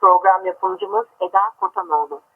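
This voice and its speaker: A woman's voice: mid-range voice, big pitch swings, normal speed, creaky, phone-quality sound. Woman announcer on very noisy shortwave radio. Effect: shortwave radio reception.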